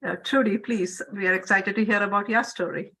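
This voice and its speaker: A woman's voice: medium pitch, fairly even intonation, a little fast, pushed and bright. A woman moderating a webinar, introducing speakers with admiring comments.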